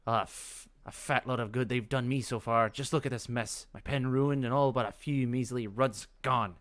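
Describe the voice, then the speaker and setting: A man's voice: medium pitch, steady tone, moderate pace, fairly bright tone, no echo, voice pushed up, lightly acted. A man voicing an angry, foul-mouthed, blustering pig farmer in a fantasy video game. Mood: indignant grumbling. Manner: blustering, cursing.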